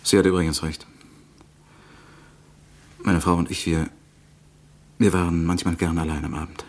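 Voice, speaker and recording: Male suspect, radio crime drama: low, husky voice, defensive, halting, slippery. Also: little pitch movement, a little mellow. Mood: regretful. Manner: halting, evasive. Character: evasive, defensive husband under police questioning.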